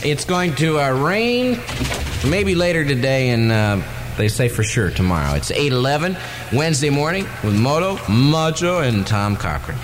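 A man's voice: medium pitch, very animated, moderate pace. An American man hosting morning radio with casual banter. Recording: loud music behind, old radio broadcast.

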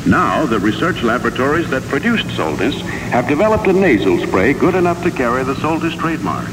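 Echoey vintage radio adman, lively delivery. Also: medium pitch, a little fast. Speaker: commercial announcer. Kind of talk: 1960s radio ad read, scripted. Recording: echoey, noisy 1960 AM radio recording.

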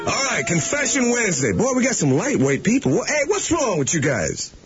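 A man bantering brashly as a morning radio host. His voice is very rough and raspy, with animated pitch, on a slightly dull old broadcast recording. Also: fairly quick, faint music behind. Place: radio studio.

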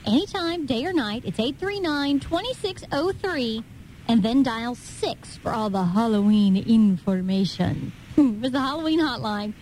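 High-voiced woman radio host. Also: expressive, measured pace, darkish tone, slight background noise, poor audio. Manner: chatty, conversational. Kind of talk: casual radio presenting between songs. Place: radio studio.

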